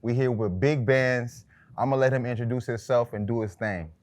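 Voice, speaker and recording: A man hosting a podcast, asking questions in casual, slangy talk and chiming in with quick remarks. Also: medium pitch, darkish tone. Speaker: podcast host. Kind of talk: casual interview chat.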